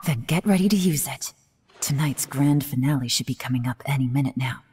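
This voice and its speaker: A woman's voice: very deep voice, brisk, warm tone, husky, full character voice. A woman playing a cool, shrewd investigator in a video game, composed and knowing. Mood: cool, watchful.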